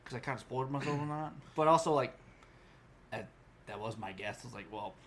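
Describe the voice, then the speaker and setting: A man's voice: mid-range voice, fairly quick. A man co-hosting a podcast in an informal, chatty style, acting out reactions as he talks. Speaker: podcast co-host. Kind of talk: casual chat about anime.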